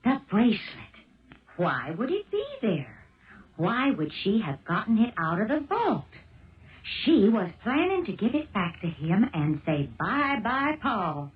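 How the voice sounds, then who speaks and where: A woman's voice: medium pitch, sing-song, a little slow. An actress playing a brassy, gossipy, streetwise woman with a blunt, slangy manner in a 1970s radio drama. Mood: excited realisation. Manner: blunt, slangy, know-it-all. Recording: muffled old radio broadcast recording.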